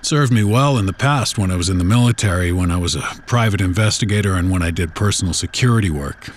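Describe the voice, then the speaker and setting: A man tells a first-person horror story in a casual, folksy, unhurried, conversational way, like someone recounting his own memories. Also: sits low, slight background noise. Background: background music.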